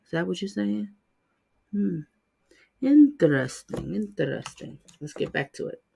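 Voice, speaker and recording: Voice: medium pitch, very animated, measured pace, vocal fry, mellow, slight background noise. A livestream host giving opinionated, conversational commentary in a casual style. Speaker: host of a livestream reaction channel.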